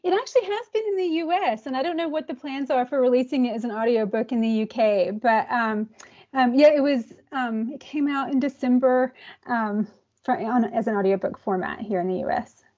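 A woman's voice: a little high, brisk, a little mellow. Southern American woman on a video call. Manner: friendly, laughs often, searches for words with many ums. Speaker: guest translator. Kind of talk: casual video call conversation. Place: indoor office. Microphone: earbud headset on video call. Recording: dull lo-fi video call audio.

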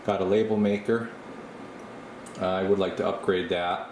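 A man's voice: low-pitched, normal speed. A man giving an informal, unscripted show-and-tell tour as host, explaining things casually while holding the camera in a small workshop. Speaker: host of a radio repair channel. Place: small indoor workshop at an electronics bench. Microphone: handheld camera mic, speaker behind camera. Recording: roomy, with steady air-conditioner-like noise.

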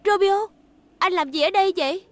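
An actress playing a gentle, anxious young female robot in love, pleading earnestly in a dubbed cartoon. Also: extremely high pitch, fairly quick, dry recording. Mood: tender, surprised.

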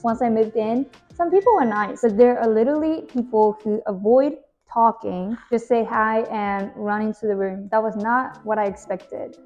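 A young woman with a Japanese accent talks frankly to camera, her English a little broken but easy and chatty. Her voice sits a touch high. Soft background music plays under the close lapel-mic sound of an apartment kitchen. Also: dull audio. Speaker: vlogger. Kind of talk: casual talk to camera.

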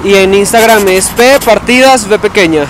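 Young man from Venezuela, aerial acrobat, high voice, measured answers, loud traffic noise. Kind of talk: casual interview answers. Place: street corner outdoors by traffic.

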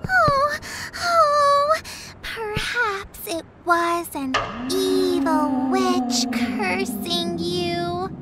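A woman's voice: extremely high pitch, lively intonation, extremely slow, loud music behind the voice, full character voice. A woman voicing a dramatic, fretful little girl in a children's cartoon, theatrical and exclaiming. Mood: dramatic dismay.

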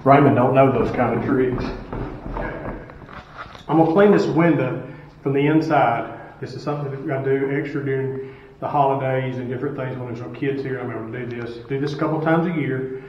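A man's voice: medium pitch, a little fast, slight background noise. Middle-aged man, plain-spoken custodian explaining his routine, heavy echo from a distant camera. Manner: plain-spoken, explains step by step, asks rhetorical questions. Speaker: school custodian. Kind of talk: casual how-to instruction. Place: school stairwell, indoors, hard walls. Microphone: camera some distance off. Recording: echoey stairwell, distant and dull.

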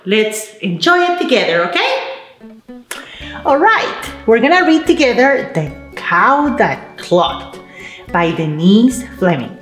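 A woman's voice: fairly high pitch, very animated, slow, soft background music. A middle-aged woman presenting a children's storytime, speaking expressively and encouragingly to small children. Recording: roomy indoor echo.

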